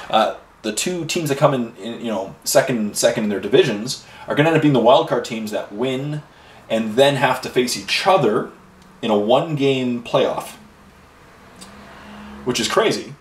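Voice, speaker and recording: A man's voice: mid-range voice, expressive, moderate pace. Rambling chatty man in an echoey room. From Ireland and Canada. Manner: rambling and chatty, with many fillers and asides. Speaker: miniature painting host. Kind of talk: casual chat while painting. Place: home hobby room. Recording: roomy echo, voice a bit far from mic, faint noise.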